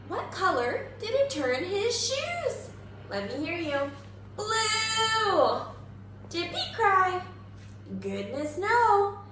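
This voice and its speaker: The recording rings with strong room echo over faint noise. A woman with a high voice reads and teaches for young children as a kindergarten teacher. Her delivery is exaggerated and very lively, inviting listeners to answer. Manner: expressive, exaggerated, prompts listeners with questions. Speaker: kindergarten teacher reading aloud. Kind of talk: animated children's read-aloud and simple lesson.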